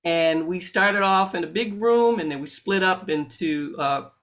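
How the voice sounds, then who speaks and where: A man's voice: high voice, a little slow, fairly bright tone. A man facilitating a workshop discussion, a clinician speaking in an affirming, encouraging and reflective way.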